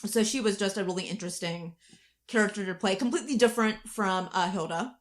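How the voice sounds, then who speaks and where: Female podcast co-host, mid-range pitch, thoughtful explanatory chat from a performer and writer. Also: quick pace. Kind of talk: behind-the-scenes podcast chat.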